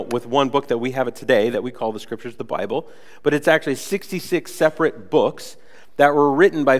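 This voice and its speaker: A man's voice: medium pitch, in a large hall. A young man preaching a casual, conversational sermon in a church, a bit nasal from a cold and full of chatty fillers. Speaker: pastor. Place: church sanctuary.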